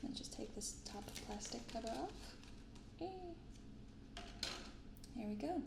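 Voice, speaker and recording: A soft-spoken woman hosting an unhurried ASMR-style unboxing, recorded in an untreated apartment room. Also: on the high side, expressive. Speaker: host of a card unboxing channel. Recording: roomy untreated apartment, clear background noise.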